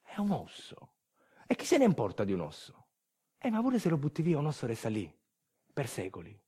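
A man's voice: mid-range voice, huge pitch swings, a little fast, warm tone, husky. A man performing a theatrical narrative monologue in a wry, rhetorical manner, with colloquial asides and refrains.

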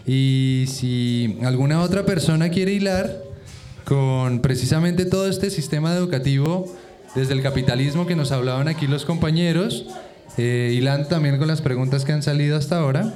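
A man moderating a radio discussion circle, inviting guests to speak in a relaxed, unhurried way. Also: fairly high pitch. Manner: relaxed, inviting, slow-paced questions.

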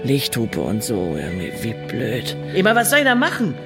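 A woman's voice: rumbling low, huge pitch swings, moderate pace, full character voice. An actress playing a middle-aged, bitter, foul-mouthed ex-convict, telling her story bluntly and sardonically in a radio drama monologue. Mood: wry, agitated. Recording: faint music underneath.

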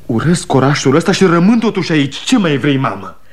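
Radio drama, angry grown son: highish voice, swinging pitch, blunt, exasperated. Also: male voice, big pitch swings. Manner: blunt, impatient. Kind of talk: 1960s Romanian radio theatre acting.

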